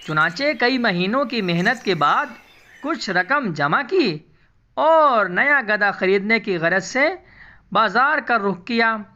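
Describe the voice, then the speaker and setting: A woman's voice: mid-range voice, animated, slow, sharp tone, dull audio. A woman storyteller reading a scripted moral tale in storybook narration for a cartoon.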